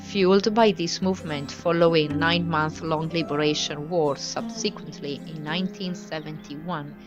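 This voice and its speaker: A woman giving a scripted documentary narration in an even, informative reading style. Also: sits low.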